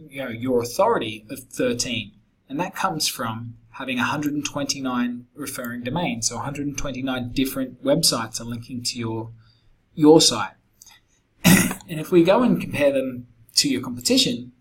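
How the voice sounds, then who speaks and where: A middle-aged man, slightly croaky, casually walking a client through a screen-recorded sales pitch with lots of fillers. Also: low-quality recording. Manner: conversational, many fillers and restarts. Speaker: SEO agency founder pitching a client.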